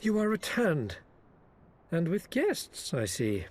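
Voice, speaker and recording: An actor playing a polite, suave merchant in a fantasy game, with formal and ornate phrasing. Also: male voice, fairly high pitch, huge pitch swings, slow, darkish tone, a little hiss or hum, heavily acted. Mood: relieved. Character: polite, genteel male trading company merchant. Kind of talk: video game cutscene acting.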